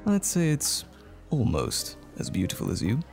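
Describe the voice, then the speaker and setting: A man playing a tender, smitten date in an intimate romantic ASMR roleplay, speaking gently and hesitantly with lingering pauses, close to the microphone. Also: medium pitch, wildly swooping pitch, gravelly, faint music behind. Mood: warm, attentive. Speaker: audio roleplay performer.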